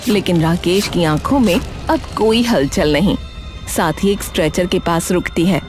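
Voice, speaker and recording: A woman narrates a cartoon story from a script in a fairly low voice. Music plays clearly behind her. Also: unhurried.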